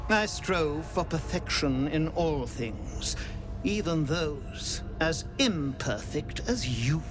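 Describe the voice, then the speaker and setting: Pompous sneering father villain, high voice, very swoopy, game acting over music. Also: expressive, takes it slowly. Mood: haughty scorn. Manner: pompous, haughty, cutting. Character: imperious, scornful patriarch scolding his prodigal son. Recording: prominent music under the voice.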